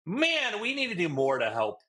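A man's voice: high-pitched, wildly swooping pitch, moderate pace, pushed and bright. A man co-hosting a podcast, telling a rambling story in casual chat, recorded on a close webcam in a room at home. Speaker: podcast co-host.

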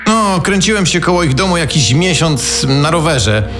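An actor playing a sour, grumbling middle-aged killer in a radio crime reenactment. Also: male voice, on the high side, very animated, moderate pace. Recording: music playing underneath.

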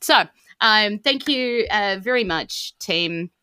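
A woman's voice: mid-range voice, expressive, slow pace, bright, forceful tone, clear, resonant tone, dry recording, clean studio sound. A woman with a New Zealand accent hosting a podcast, giving chatty thanks and announcements in a gushing, appreciative way. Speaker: host and game master of a D&D podcast.

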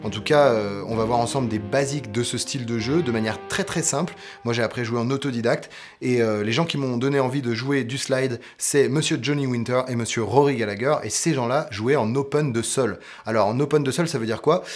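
A man's voice: mid-range voice, a little fast. A man, teaching guitar to camera, explaining step by step. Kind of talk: instructional talk to camera. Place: indoor guitar studio with amps. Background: electric guitar playing.

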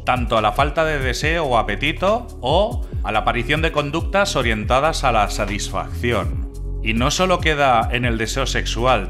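A man's voice: medium pitch, a bit bright. A man hosting a channel, reading a scripted explainer voice-over that poses rhetorical questions to the viewer. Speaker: channel host. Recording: clear music bed behind the voice.

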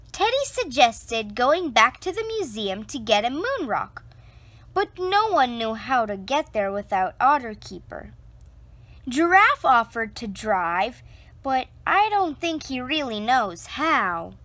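A woman reading a children's picture book aloud, slow and expressive, close to the camera indoors. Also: dry recording. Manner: slow, expressive storytelling with lively pitch.

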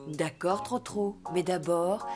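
A woman voicing a gentle, doting mother donkey in a preschool cartoon, speaking tenderly. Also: fairly low pitch, slow, faint music behind.

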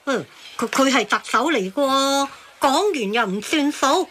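An actress playing a reasonable, conciliatory auntie in a radio drama, reasoning and questioning in a Hong Kong Cantonese accent. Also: female voice, high voice, expressive, a little slow, a bit bright, a little hiss or hum. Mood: concerned. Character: reasonable, conciliatory woman called Third Aunt.

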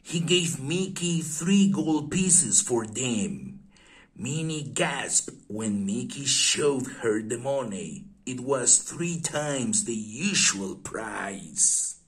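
A man reading a fairy tale aloud for young children in a deliberate, expressive way, with pauses. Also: medium pitch.

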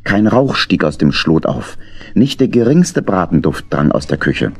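A man narrating a children's story as an expressive scripted storyteller. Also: sits low, a little slow, gravelly, husky.

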